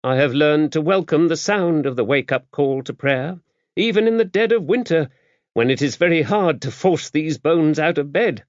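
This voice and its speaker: A stern, large-framed older nun as a male audiobook narrator plays her, gruff and matter-of-fact. The voice is lifted well up in pitch and moves in lively swoops. She is brusque and worldly-wise. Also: normal speed, no room sound, dull audio, lightly acted. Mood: gruffly softened. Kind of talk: audiobook character dialogue.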